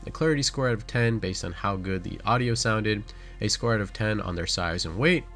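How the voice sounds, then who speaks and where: Fast-talking man narrating a scripted review. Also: lowish voice, fairly bright tone. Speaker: product reviewer. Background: background music.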